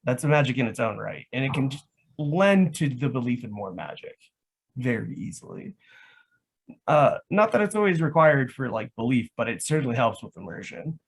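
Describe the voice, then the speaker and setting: A man's voice: mid-range voice, very animated, moderate pace, gravelly, slightly rough. Man chatting, rambling with lots of "like". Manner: rambling, says "like" constantly. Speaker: guest, live action roleplay organiser. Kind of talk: casual explanatory chat.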